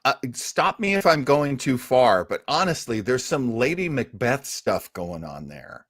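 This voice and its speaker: A man's voice: medium pitch, sing-song, unhurried, fairly bright tone, no echo, clean studio sound. An older man chatting casually as a podcast host in a fan discussion, with a self-deprecating manner.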